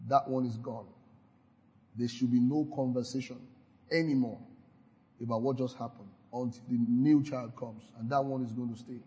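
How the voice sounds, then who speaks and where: A man's voice: medium pitch, steady tone, normal speed, mellow, husky, lo-fi sound. A man preaching a sermon, exhorting his listeners and leading them in repeated declarations with frequent hallelujahs. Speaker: pastor. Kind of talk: sermon with call-and-response declarations.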